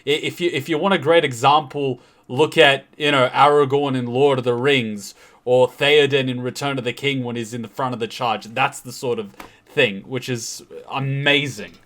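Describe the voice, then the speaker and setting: A man's voice: medium pitch, fairly even intonation, normal speed, fairly bright tone. A man chatting casually as a reaction host, emphatically explaining and rephrasing his points. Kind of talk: casual commentary and chat.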